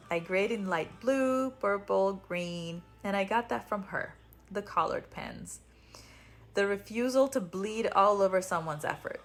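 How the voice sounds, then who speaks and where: A woman with an Italian accent, a native Italian speaker, hosting a podcast with casual personal storytelling in a chatty, self-deprecating manner. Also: medium pitch. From Italy. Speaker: podcast host.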